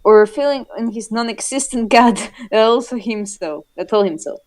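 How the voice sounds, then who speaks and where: A woman's voice: fairly high pitch, normal speed. A giggly woman reading fan fiction aloud with casual joking commentary, stumbling over words and swearing freely. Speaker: co-host reading fanfiction.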